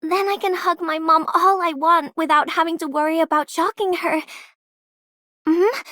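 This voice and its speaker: A woman's voice: very high voice, steady tone, moderate pace, sharp tone, dry recording, studio quality. An actress playing an eager, hard-working young girl in a video game. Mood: excited, eager.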